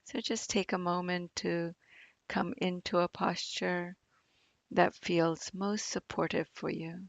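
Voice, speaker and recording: A woman guides a meditation very slowly, in a fairly low voice. Her delivery is gentle and soothing, with long pauses and a gravelly fry at the edges. The sound is close and dry. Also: level tone, dry recording. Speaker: meditation teacher. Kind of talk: guided body scan meditation.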